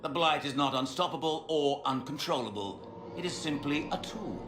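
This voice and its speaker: An actor playing a smug, fanatical mage villain in a fantasy game, gloating and pompous in a theatrical way. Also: male voice, a little high, lively intonation, normal speed, lightly acted. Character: smug fanatical male mage villain serving a dark master. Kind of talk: dramatic fantasy game acting. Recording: echoey, with music behind.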